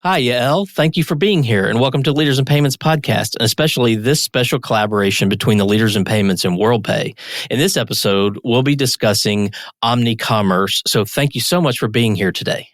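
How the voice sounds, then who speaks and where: A man's voice: medium pitch, a little fast, no room sound, studio quality. A man hosting a podcast, reading an upbeat, welcoming introduction and then asking his guest questions. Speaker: podcast host. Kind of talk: scripted interview intro.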